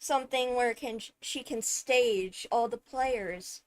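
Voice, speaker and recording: A woman giving enthusiastic fan commentary, thinking aloud with dramatic, deliberate phrasing and emphatic pauses. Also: fairly high pitch. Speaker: video creator analysing a game character.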